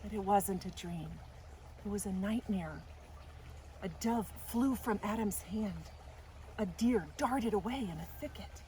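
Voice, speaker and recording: Slow, expressive woman reading a storybook. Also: medium pitch, poor audio. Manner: deliberate, dramatic, expressive reading. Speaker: storytime host reading aloud. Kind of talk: children's storybook narration. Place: outdoors in a garden. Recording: faint outdoor noise, birds or animals. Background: faint outdoor ambience.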